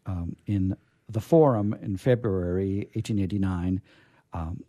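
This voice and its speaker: A man with a mellow, relaxed voice hosts a readings show. He talks off the cuff in a halting, rambling way, dropping in jokey asides. The recording is dry, with hardly any room sound. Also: low voice, no room sound. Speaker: host and reader of a radio players' show. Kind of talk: chatty, off-the-cuff introduction.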